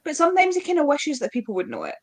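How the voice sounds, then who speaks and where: Higher-pitched woman in casual book chat. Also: huge pitch swings, racing. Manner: informal, acts out quoted dialogue. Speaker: book club live show co-host. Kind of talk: casual chat about books.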